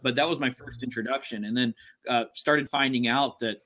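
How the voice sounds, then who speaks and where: A man's voice: medium pitch, steady tone, a little fast, pushed and bright. A man sharing a memory in an easygoing, casual way on a live podcast chat. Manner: easygoing, storytelling.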